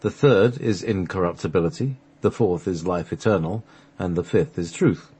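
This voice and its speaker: A man narrating a sacred text for a radio play, halting at times as he reads from the script. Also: deep voice, darkish tone, slightly rough. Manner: halting, stumbles over the script and restarts.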